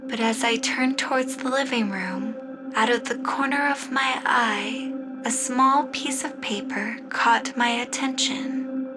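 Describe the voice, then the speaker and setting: A woman narrating and announcing in the style of a 1940s radio drama, with measured storytelling. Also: high-pitched, fairly even intonation. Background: music score.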